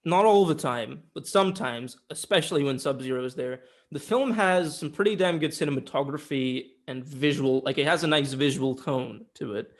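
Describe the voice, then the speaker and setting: A man's voice: fairly high pitch, normal speed, hardly any pauses. A man giving a casual, opinionated film review in informal, sweary conversation. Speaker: film reviewer on a two-person show.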